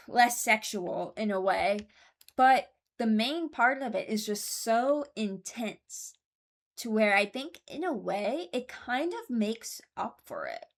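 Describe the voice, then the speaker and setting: A woman giving casual, rambling commentary as a host, thinking aloud as she goes. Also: fairly high pitch. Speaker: host ranking game voice lines.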